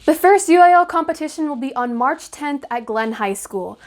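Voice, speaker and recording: High-voiced young woman reading news narration. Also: animated, moderate pace. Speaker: student news reporter.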